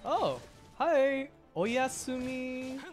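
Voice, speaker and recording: A man's voice: very high-pitched, lively intonation, extremely slow, soft background music. A man streaming a video game, giving casual, amused commentary and exclaiming at what he sees.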